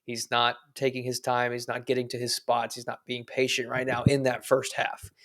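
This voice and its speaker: A man co-hosting a sports podcast in a mid-pitched, everyday voice. He talks through his points in a steady, analytical way and drops the odd dry joke. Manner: measured, analytical, dry jokes. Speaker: podcast co-host. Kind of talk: casual sports podcast chat.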